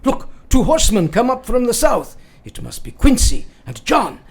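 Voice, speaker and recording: An actor playing a grave older professor and vampire hunter in a radio play, with formal, old-fashioned speech. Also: male voice, very high voice, wildly swooping pitch, normal speed, creaky, breathy edge, exaggerated voice. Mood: urgent. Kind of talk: radio drama acting.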